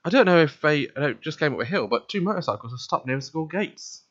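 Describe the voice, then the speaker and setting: A man's voice: on the high side, lively intonation, fast talker, a bit bright, dry recording, highs cut off. A man hosting a let's play, reading visual novel narration aloud and commenting casually, stumbling now and then.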